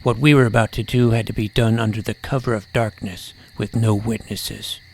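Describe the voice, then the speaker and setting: A man playing an earnest, self-important junior high superhero who narrates his own adventure with mock-dramatic delivery. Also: level tone, moderate pace, darkish tone, a little hiss or hum, natural voice. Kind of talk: scripted first-person story narration.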